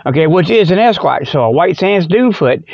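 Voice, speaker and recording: A high-voiced older man delivers a folksy comic monologue as a radio host. He rambles and repeats himself in colloquial speech, circling off on tangents. The recording is dry and somewhat dull and lo-fi. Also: expressive, fairly quick, a little mellow, no echo. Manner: folksy, drawling colloquial phrasing, repetitive and digressive.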